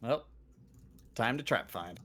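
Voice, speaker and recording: Mid-pitched man playing a tabletop game. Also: lively intonation, slow pace, bright, forceful tone, a little hiss or hum. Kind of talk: casual online tabletop roleplay chat.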